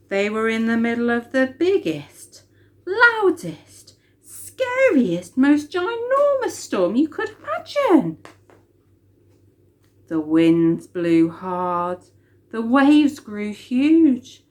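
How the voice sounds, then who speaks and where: A woman reading a children's story expressively, with dramatic emphasis on key words. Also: medium pitch.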